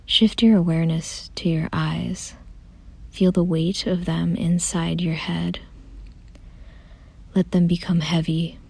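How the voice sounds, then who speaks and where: Breathy, hushed woman guiding meditation. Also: fairly low pitch, dry recording. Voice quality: soft, breathy, often near a whisper. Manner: gentle, calm, unhurried instructions with pauses. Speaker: meditation guide. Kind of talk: soothing guided meditation, scripted.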